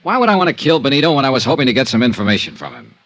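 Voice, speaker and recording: A man's voice: medium pitch, wildly swooping pitch, rapid-fire, fairly bright tone. A man playing a tough, wry American insurance investigator in a 1950s radio serial, clipped and matter-of-fact. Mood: wry, defensive. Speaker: actor narrating and starring in a radio detective serial. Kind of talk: 1950s hardboiled radio acting.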